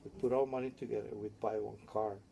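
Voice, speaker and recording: An older man, his voice gravelly and mellow as he recalls his childhood at a loose, wandering pace. He keeps checking in with "yeah?", and faint noise hums under the recording. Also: fairly low pitch, fairly even intonation, fast, dull audio. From Hawaii. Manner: rambling, tags statements with "yeah?". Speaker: interviewee. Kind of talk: oral history interview.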